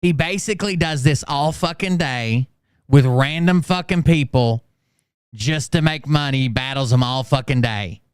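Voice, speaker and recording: A man's voice: mid-range voice, moderate pace, clean tone, dry recording, studio quality. A man commenting sarcastically on livestream clips, mocking and swearing freely. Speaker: commentary host. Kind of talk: reaction commentary.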